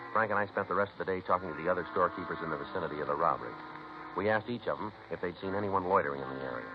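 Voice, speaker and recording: An American man playing a terse, matter-of-fact police detective in a 1950s radio drama, with a clipped, deadpan, businesslike delivery. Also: sits low, close to an everyday voice. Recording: noisy, muffled 1950s radio recording.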